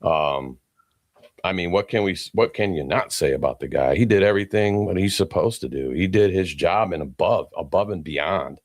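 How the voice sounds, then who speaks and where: A man's voice: sits low, normal speed, no room sound, clean studio sound. A laid-back man co-hosting a casual sports chat, reeling off stats with a slightly stuffy nose. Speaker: co-host. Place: podcast studio.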